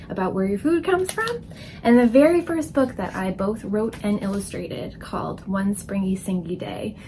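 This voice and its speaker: Casual woman, faint background noise. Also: mid-range voice. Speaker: children's book illustrator and author. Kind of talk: casual chat to camera. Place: indoor room full of books.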